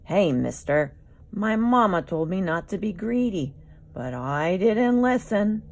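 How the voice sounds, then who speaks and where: Woman as a moaning, lazy Lystrosaurus, slow and loud. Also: medium pitch, expressive, voice pushed up. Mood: miserable, pleading.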